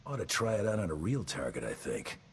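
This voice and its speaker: An actor voicing a gruff, laconic male gunslinger in a space video game, dry and flirtatious. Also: low voice, big pitch swings, brisk, a little hiss or hum.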